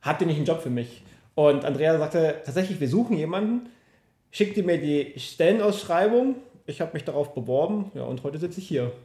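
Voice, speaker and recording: A man in his thirties speaks as a guest in a casual conversation. His voice sits a little higher than most men's. He tells stories informally, and the room echoes noticeably. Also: expressive, normal speed, warm tone. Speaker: podcast guest. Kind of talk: casual chat, personal storytelling. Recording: roomy, echoing room.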